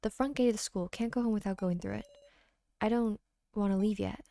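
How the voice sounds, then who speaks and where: Woman playing an anxious, soft-spoken teenage schoolgirl. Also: mid-range voice, fairly even intonation, fairly quick, natural voice. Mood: wary, dry. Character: anxious, dry-witted teenage schoolgirl heroine.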